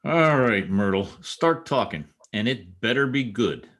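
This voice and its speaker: A man acting an exasperated newspaper reporter in an old-time radio comedy. His husky voice swings widely in pitch as he tosses out slangy wisecracks. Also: expressive, unhurried, a bit bright. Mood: firm, impatient.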